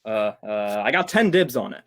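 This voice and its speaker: A man's voice: on the low side, animated, normal speed, fairly bright tone. A man playing an easygoing, wisecracking radio actor in a 1940s-style radio play. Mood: distracted, casual. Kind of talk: old-time 1940s radio play acting.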